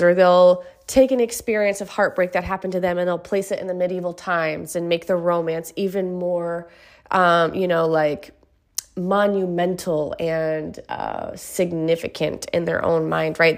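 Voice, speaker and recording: A woman with a slightly low voice talks in a relaxed, chatty way about her work as an author. She sounds a little stuffy, as if fighting a cold, and keeps things earnest and personal, often tossing in a quick "right?" to the listener. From Michigan. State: stuffy nose. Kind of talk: casual chat.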